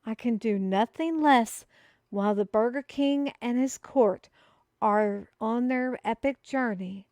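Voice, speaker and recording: A woman playing an excitable fantasy messenger who proclaims a prophecy to the listener in grandiose, formal, old-fashioned phrasing, as a scripted audio roleplay. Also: fairly high pitch, takes it slowly, no room sound. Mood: anxious, earnest. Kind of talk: theatrical scripted roleplay monologue addressed to the listener.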